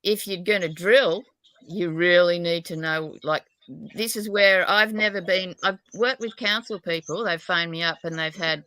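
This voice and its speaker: A lower-pitched woman with a broad Australian accent, heard close and dry. Her voice is smooth and clear. She chats casually and frankly, wandering from one thought to the next and poking fun at herself. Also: moderate pace, sharp tone, dry recording, rough recording. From New South Wales, Australia. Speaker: guest dowser. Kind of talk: casual chat.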